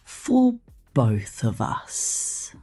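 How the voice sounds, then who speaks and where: A woman playing a possessive, condescending mechanic captor in an ASMR roleplay, speaking softly and half-whispered with playful menace. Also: very deep voice, wildly swooping pitch, breathy edge, faint music behind, full character voice. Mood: teasing affection. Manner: soft, half-whispered, slow, teasing.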